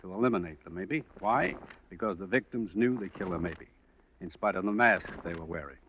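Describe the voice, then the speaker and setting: An American actor playing a weary, practical police lieutenant in a 1950s radio drama, deliberate and matter-of-fact. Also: male voice, low-pitched, natural voice. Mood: weary, musing. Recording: muffled, faintly noisy old radio recording.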